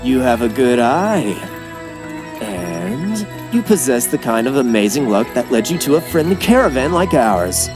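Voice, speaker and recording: Man acting as a swaggering anime merchant boss, very lively swooping delivery, teasing, persuasive, music behind him. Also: mid-range voice, a little slow, creaky. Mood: coaxing, persuasive. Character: roguish, confident male chief of traveling merchants. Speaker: voice actor in an anime fan dub.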